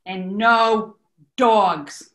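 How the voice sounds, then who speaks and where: A woman's voice: medium pitch, drawn-out words, a bit bright. An actress playing a brash, sardonic old New York landlady haggling over shabby rooms in a 1940s-style radio comedy. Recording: echoey room.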